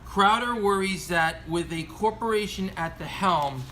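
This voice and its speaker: A man's voice: high voice, measured pace, background noise. An American man reading scripted opinion commentary as an aviation commentator, earnest and formal, stumbling over some words.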